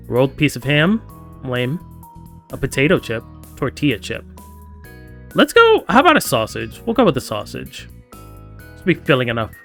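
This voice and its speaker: A man's voice: mid-range voice, creaky, fairly bright tone. Playful man reading a game aloud, music behind. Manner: playful, acts out characters' lines. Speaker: streamer playing a visual novel. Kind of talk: reading game text aloud with casual asides.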